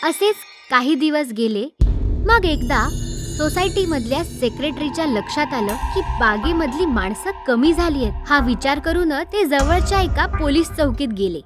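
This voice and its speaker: A woman's voice: high-pitched, lively intonation, normal speed. A woman narrating a scripted horror story for children. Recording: loud music behind the voice. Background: loud music.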